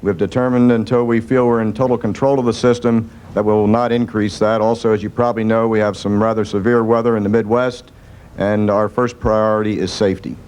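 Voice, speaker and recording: A man's voice: lowish voice, little pitch movement, fairly quick, a little mellow, slight background noise. A man, a government official, answering reporters in a firm, matter-of-fact way. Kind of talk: press Q&A answers.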